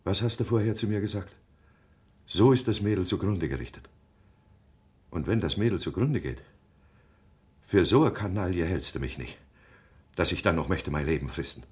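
An actor in a German radio drama playing an earnest, cornered middle-aged husband, proud and pleading, with an urgent, emphatic delivery. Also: male voice, low voice, lively intonation, normal speed, pauses often, a little mellow, husky. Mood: urgent, insistent.

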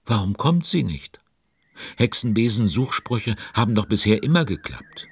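A man's voice: big pitch swings, normal speed, full character voice. A man narrating a children's audio drama as an avuncular, fretting storyteller, full of exclamations and questions to young listeners. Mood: concerned. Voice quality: very deep, husky.

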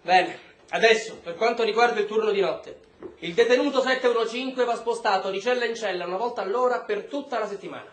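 An actor playing a brutal, foul-mouthed military officer, barking contemptuous orders in a stage drama. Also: male voice, high-pitched, brisk, natural voice. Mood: menacing, scornful. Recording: roomy, echoing stage space.

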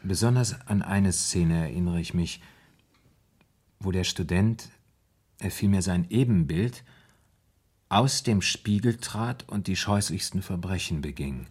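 An actor in a 1970s German radio play, playing a troubled, brooding man confessing his fear of madness in halting, dramatic storytelling. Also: low voice, darkish tone, husky. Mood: brooding recollection.

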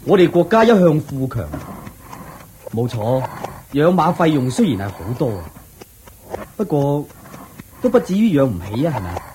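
A man with a Hong Kong Cantonese accent playing an earnest army general devoted to his horses in a radio drama, forceful and full of questions. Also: a little high, big pitch swings, normal speed, long pauses, a little hiss or hum. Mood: earnest, pleading.

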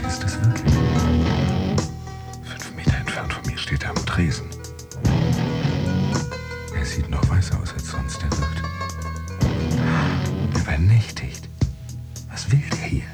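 An actor playing a brooding man who secretly pretends to have a job, in a measured, introspective inner monologue for a radio play. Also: extremely low pitch, animated, gravelly, exaggerated voice. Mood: suspicious, bitter. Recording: music behind the voice. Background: music.

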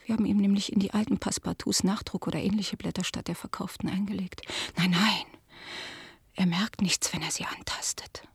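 An actress playing an ashamed, pleading middle-aged daughter in a radio drama, confessing in a hushed voice. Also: female voice, medium pitch, fairly even intonation, brisk, vocal fry, husky, no room sound, whispered. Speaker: the collector's daughter.

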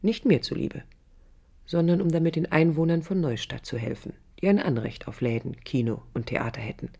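Casting: passionate young idealist architect, female, husky voice, introspective radio-play narration, intense and breathy. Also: sits low, normal speed, mellow. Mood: resolute, bitter. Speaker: lead actress and narrator. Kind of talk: German radio drama, introspective first-person narration. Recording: 1980s radio play production.

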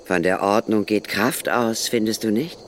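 An actor in a radio play voicing an orderly, down-to-earth birdwatching guide who speaks in a deliberate, instructive way. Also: male voice, lowish voice, a little hiss or hum, natural voice. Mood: matter-of-fact.

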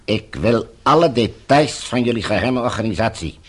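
An actor playing a falsely courteous, menacing captor and regime agent in a Dutch radio drama, speaking in measured, formal, condescending phrases. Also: male voice, mid-range voice, lively intonation, breathy edge. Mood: cold, demanding.

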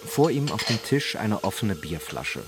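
An actor as the sober, measured narrator of a radio crime drama, telling it in standard German. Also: male voice, fairly low pitch, sing-song, background noise. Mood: sober, suspenseful. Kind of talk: scripted present-tense narration in a radio drama.

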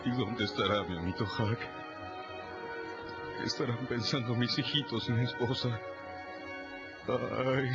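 An actor playing a grieving enslaved man who speaks in a slow, mournful lament. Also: mid-range voice, fairly even intonation, natural voice. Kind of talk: radio drama acting. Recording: muffled radio audio under music.

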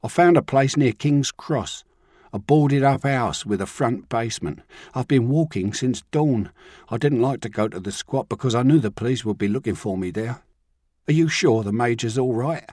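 A man's voice: mid-range voice, normal speed, a little mellow, no room sound, pitched above the performer's own voice, natural voice. A man plays a frightened young runaway, sulky and pleading, his voice lifted above the reader's own and drawn out. It sounds close and dry. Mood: desperate.

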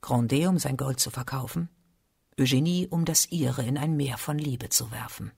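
A woman's voice: very deep voice, normal speed, creaky, dark tone, husky, no room sound, studio quality. A woman narrating a literary radio drama as a storyteller.

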